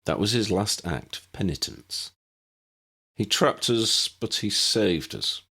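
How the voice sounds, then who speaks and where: A man voicing a weary old wizard in an audiobook story, grave and courteous, with formal, measured phrasing. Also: on the low side, expressive, sharp tone, breathy edge, pitched above the performer's own voice, exaggerated voice. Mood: solemn, explaining. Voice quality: cracked voice.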